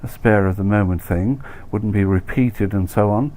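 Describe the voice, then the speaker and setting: A man's voice: on the low side, normal speed, dark tone, natural voice. A man voicing a brittle, betrayed expat wife, terse, bitter and impatient, in a comic story reading. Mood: terse, wounded. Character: Mrs Tregunter-Smythe, brittle, exasperated betrayed expat wife. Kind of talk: acted dialogue in comic short story reading.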